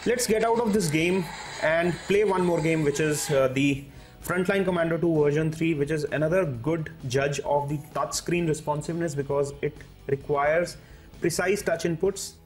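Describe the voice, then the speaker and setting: A man's voice: on the high side, normal speed, soft background music. A man giving a product review as a tech reviewer, explaining things step by step.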